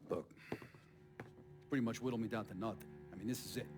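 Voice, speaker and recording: Man acting a weary, defiant veteran mobster over loud music. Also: fairly low pitch, a little fast, lightly acted. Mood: wary. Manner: blunt, streetwise, profane. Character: weary, defiant, foul-mouthed veteran mobster, cornered but proud. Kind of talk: cinematic game dialogue. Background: guitar music.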